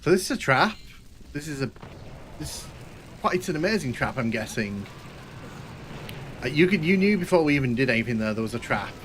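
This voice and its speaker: A man's voice: medium pitch, big pitch swings, brisk, long pauses, a little hiss or hum. Chatty northwest English man streaming a game. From northwest England. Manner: chatty, rambling, self-mocking. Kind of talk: casual gameplay commentary.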